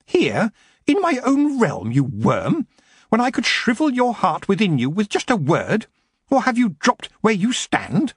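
A man's voice: high voice, huge pitch swings, a little slow, slightly rough, no echo, clean studio sound, voice pushed up, heavily acted. A man putting on a haughty elf queen. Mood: menacing. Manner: imperious, scornful. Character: haughty cruel elf queen, woman, ageless.

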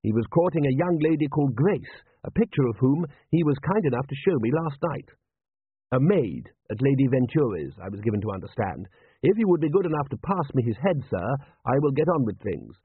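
Man acting prim butler-soldier, raised pitch, thin audio. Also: very animated, fairly quick, breathy edge. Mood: solemn, proper. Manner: polite, precise, very proper servant's diction. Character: formal, unflappable butler turned soldier, deferential. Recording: thin, band-limited, phone-like sound.

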